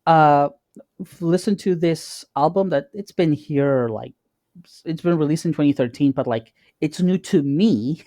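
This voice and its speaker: A man co-hosting a podcast, chatting informally and haltingly, often repeating words as he goes. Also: a little high, dark tone, dry recording. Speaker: podcast co-host. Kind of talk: casual chat.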